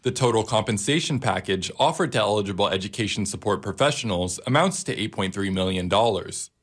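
Male radio reporter, lowish voice, reading scripted news copy. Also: animated, fairly quick, fairly bright tone.

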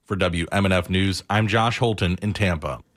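A man's voice: sits low, normal speed, fairly bright tone. A man reading a scripted radio news report as a reporter.

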